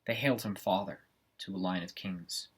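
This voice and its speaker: Man acting out brooding would-be regicide, tormented and ambitious, deep husky voice, deliberate classical stage soliloquy, weighted pauses. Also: fairly bright tone. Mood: dark, grim.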